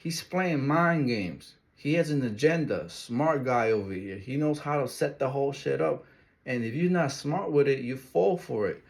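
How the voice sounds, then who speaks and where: A man's voice: medium pitch, animated, moderate pace, gravelly. A man from Ecuador talks through a reaction video in an ordinary mid-range voice. He is emphatic and loose, and he circles back to the same words again and again. His delivery is casual and conversational. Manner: emphatic, repeats key words, street-casual phrasing.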